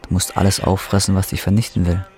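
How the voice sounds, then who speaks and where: A man's voice: low voice, little pitch movement, fast, darkish tone. A bitter young working man, music behind. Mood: dark, brooding. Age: 20s. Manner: embittered, colloquial, confrontational. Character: bitter, defiant, broke injured roofer in his twenties. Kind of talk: German radio drama acting and brooding first-person narration.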